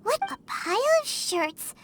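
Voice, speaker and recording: A woman voicing a small, curious, excitable fairy girl in a video game, with a childlike, inquisitive way of talking. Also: a little slow, close to an everyday voice. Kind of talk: English video game voice acting. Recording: loud music under the voice.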